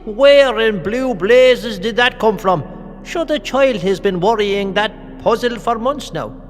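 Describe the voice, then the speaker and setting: High, swooping, folksy Irish fellow. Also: male voice, lively intonation, slow pace, long reverb tail. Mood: flustered, chivvying. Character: folksy, excitable palace keeper minding chickens. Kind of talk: children's audio drama acting.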